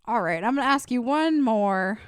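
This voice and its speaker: A woman in her thirties co-hosting a comedy podcast, animated and supportive, sometimes mock-whiny. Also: on the high side, normal speed, clean tone, no room sound, clean studio sound. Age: 30s. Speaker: podcast co-host. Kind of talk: casual comedy chat.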